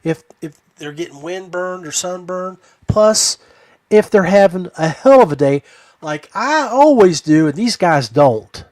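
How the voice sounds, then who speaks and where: A man's voice: high voice, expressive, a little slow, a little mellow, no echo. A man hosting a podcast in casual chat, with a folksy way of addressing his listeners. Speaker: podcast host. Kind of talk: casual chat about fishing gear.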